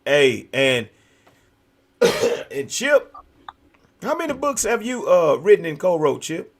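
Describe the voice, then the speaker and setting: An American man with a fairly high voice hosts a talk show. He talks conversationally with a preaching streak, leaning hard on repeated phrases to make his point. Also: very animated, slow. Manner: emphatic, repetitive. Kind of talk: animated conversational preaching and chat. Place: virtual video show.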